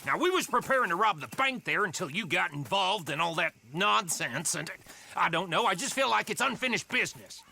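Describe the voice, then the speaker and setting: Aggrieved roughneck outlaw, American Western drawl, very sharp and high-pitched, grumbling folksy game dialogue. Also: male voice, animated, fairly quick, slight background noise, exaggerated voice. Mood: conspiratorial. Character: rough, aggrieved, dim male Old West outlaw. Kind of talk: video game cutscene acting.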